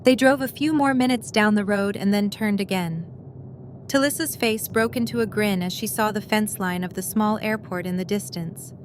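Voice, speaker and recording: A woman's voice: medium pitch, normal speed. A woman narrating a scripted story in the third person for an audio drama. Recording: faint background noise.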